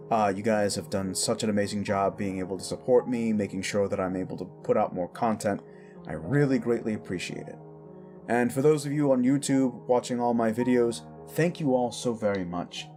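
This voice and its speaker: A man's voice: medium pitch, normal speed, darkish tone, faint music behind. A man hosting his own audio channel, chatting in a rambling, enthusiastic, casual outro. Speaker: audio creator and host.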